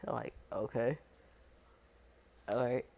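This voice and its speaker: A young man, sick and hoarse, rambling casually in crude slang on his vlog. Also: mid-range voice, fairly even intonation, slow, a little mellow. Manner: rambling, crude slang, laughs and coughs often. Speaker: YouTube voice creator.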